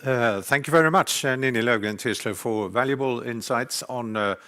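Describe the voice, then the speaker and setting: A man's voice: mid-range voice, normal speed, bright, forceful tone. A man moderating a business webinar, speaking formally with frequent fillers.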